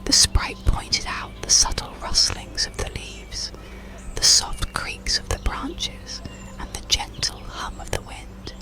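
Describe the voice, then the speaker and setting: A storyteller narrating an ASMR bedtime story in a soothing, deliberate whisper. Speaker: audiobook storyteller.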